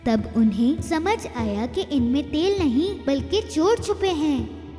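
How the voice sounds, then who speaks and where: Slow, lively high-voiced woman storyteller. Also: big-room sound, faint music behind. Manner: slow, expressive storybook reading. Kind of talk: scripted narration of a children's tale.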